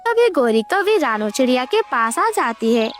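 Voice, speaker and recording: Very high, animated woman storyteller. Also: moderate pace, faint music behind. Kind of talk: scripted children's story narration.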